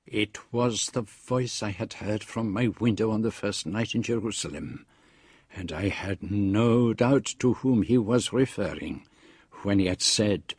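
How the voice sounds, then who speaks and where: An actor playing a grave, uneasy man narrating a mystery in the first person for a radio drama, measured and foreboding. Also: fairly low pitch, darkish tone, breathy edge, dry recording, studio quality.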